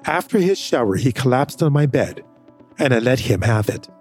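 Expressive male audio drama narrator. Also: normal speed, soft background music. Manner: expressive, rising pitch in dialogue.

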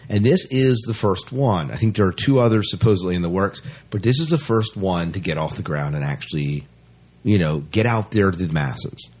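A man's voice: low voice, expressive, normal speed, darkish tone, slightly rough. A man hosting a podcast, chatting casually and emphatically as he gives a review. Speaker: podcast host reviewing a fan film.

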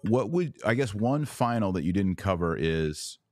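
A man's voice: lowish voice, sing-song, moderate pace, darkish tone, no room sound. A man hosting a conversational religious debate podcast, arguing emphatically with hypothetical examples. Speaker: podcast host.

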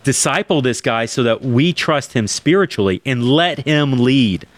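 A man's voice: medium pitch, lively intonation, measured pace, dry recording. A man in his forties, talking in an emphatic, preacherly way and turning to address listeners directly in a podcast conversation. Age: 40s. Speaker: church planting guest.

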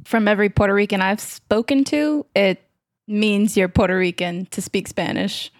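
A woman's voice: medium pitch, moderate pace, no room sound, studio quality. A woman, a guest in a casual podcast conversation, speaking frankly with an amused tone and lots of "like".

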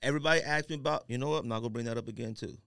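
A man hosting a podcast in casual banter, talking in an animated, slangy, emphatic way. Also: mid-range voice, very fast, gravelly, clean tone, no room sound, clean studio sound. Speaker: podcast host.

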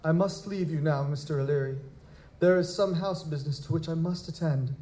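Formal, touchy young aristocrat, male actor, higher soft-toned voice, precise courtly diction, audio drama, echoey noisy room. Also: brisk, lightly acted. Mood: polite, formal. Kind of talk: live audio drama acting. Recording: roomy, echoing, noisy live recording.

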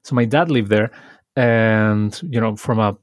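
A man's voice: on the low side, fairly even intonation, a little slow, no echo. Man hosting a podcast, dry sound. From Chile. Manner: reflective, storytelling, lightly laughing. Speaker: podcast host. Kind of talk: conversational podcast interview.

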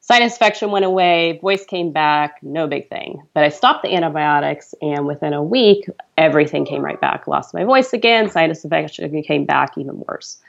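A woman's voice: low-pitched, animated, normal speed, gravelly, hardly any pauses, dull audio. A woman in her thirties, a podcast guest, telling her story in an earnest, chatty way. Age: 30s. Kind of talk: conversational storytelling.

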